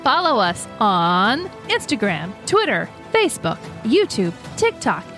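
A woman's voice: mid-range voice, huge pitch swings, measured pace, bright, forceful tone, soft background music. A woman co-hosting a fan podcast, chatting in an informal, agreeable way. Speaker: podcast co-host. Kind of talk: casual fan podcast chat.

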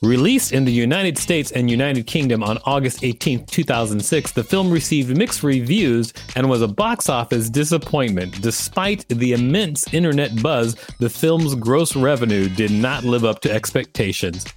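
A man's voice: medium pitch, expressive, moderate pace, hardly any pauses, soft background music. A man hosting a podcast, reading an encyclopedia article aloud and adding casual commentary. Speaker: podcast host.

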